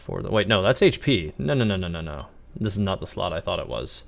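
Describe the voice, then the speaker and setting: A man's voice: deep voice, very animated, fast, gravelly. A man casually commentating on a live game stream, musing and thinking aloud.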